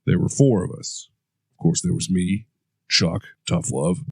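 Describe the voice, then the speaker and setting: A man's voice: low-pitched, fairly even intonation, a little slow, gravelly, darkish tone, husky, full character voice. A man playing a hardened veteran soldier who talks in a blunt, profane way. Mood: gruff, recounting. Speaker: interrogated war veteran. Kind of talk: audio drama acting.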